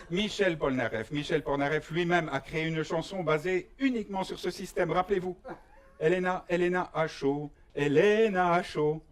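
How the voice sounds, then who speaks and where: Slow, deliberate male stage comedian. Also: fairly high pitch. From Belgium. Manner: mock-serious, deliberate delivery with pauses for effect. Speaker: stand-up performer. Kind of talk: live comic stage monologue.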